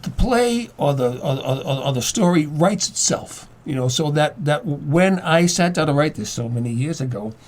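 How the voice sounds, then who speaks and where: A man in his seventies talking casually and reflectively as a guest writer, halting and repeating words as he goes. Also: fairly high pitch, animated, a little mellow. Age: 70s.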